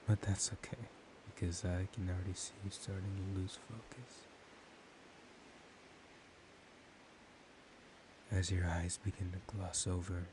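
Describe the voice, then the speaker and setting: A man playing a dominant, seductive vampire in a soft-spoken ASMR roleplay, slow and teasing, often slipping into whispers. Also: low voice, close to an everyday voice. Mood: hypnotic, seductive. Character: dominant, seductive male vampire hypnotizing his captive lover. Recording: steady background hiss.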